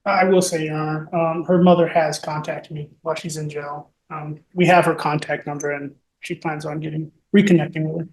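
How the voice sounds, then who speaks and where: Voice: medium pitch, level tone, fairly quick, few pauses, a little mellow. A defense attorney giving brief, deferential formal replies in an echoey courtroom.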